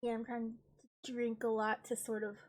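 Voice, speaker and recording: A woman's voice: fairly high pitch, monotone, moderate pace, darkish tone. A young woman streamer with a stuffed-up, congested voice, chatting casually and laughing at herself. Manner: chatty, self-deprecating, giggly asides. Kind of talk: casual chat.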